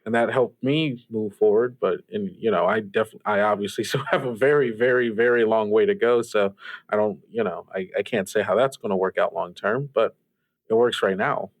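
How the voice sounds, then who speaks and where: A man's voice: on the low side, moderate pace, no room sound, natural voice. Earnest, hesitant eighteen-year-old played by a man, dry sound. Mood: earnest, sympathetic. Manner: hesitant, polite, stumbling sympathetically. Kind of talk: improvised in-character roleplay for an actual play podcast.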